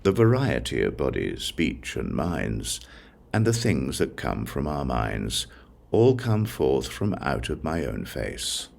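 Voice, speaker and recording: A man reading a sacred text as an audiobook narrator, in a solemn, measured way with deliberate pauses between short phrases. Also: a bass voice, sing-song, gravelly, a little mellow.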